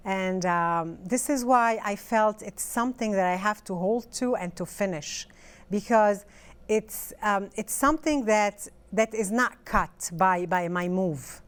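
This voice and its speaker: A woman's voice: mid-range voice, a little slow, smooth. A middle-aged woman from Lebanon, French her first language, chatting as an author in an interview, with hesitant speech and frequent restarts. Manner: hesitant, restarts often, fillers. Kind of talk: casual interview chat.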